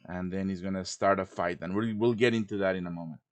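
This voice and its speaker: A man's voice: sits low, steady tone, fast talker. A man with a chatty manner hosting a casual live tutorial, often checking in with his listeners as he teaches. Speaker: host teaching game quest design. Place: live stream over online voice chat.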